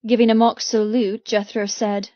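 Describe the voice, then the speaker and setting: A woman's voice: mid-range voice, little pitch movement, moderate pace, no room sound, lo-fi sound. A woman reading scripted, dramatic first-person narration as an audiobook narrator.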